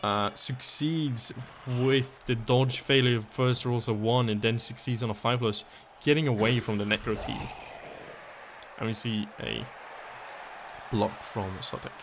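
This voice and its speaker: A male caster calls a live video game match in a raspy, worn-out voice with a gravelly edge. He walks through each play out loud, weighing options as he goes. A faint noise sits under the recording. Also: mid-range voice, steady tone, a little slow. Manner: analytical, thinking aloud, thanks viewers. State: hoarse.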